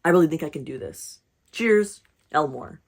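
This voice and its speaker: A woman's voice: low voice, lively intonation, normal speed, gravelly, a little mellow, pitched below the performer's own voice, exaggerated voice. Woman reading as an eager, earnest storybook moose. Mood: sheepish, hopeful. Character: eager, earnest, cheerful male moose job applicant. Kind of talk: storybook read-aloud.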